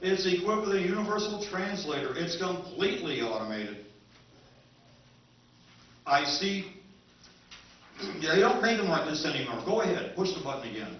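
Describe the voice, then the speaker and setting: A man's voice: a little high, very animated, normal speed, long pauses, slight background noise. A man playing a pushy, persuasive interstellar junk dealer in an old-time radio drama, with emphatic salesman patter. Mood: eager, coaxing. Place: convention stage. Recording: very echoey, dull, distant stage recording.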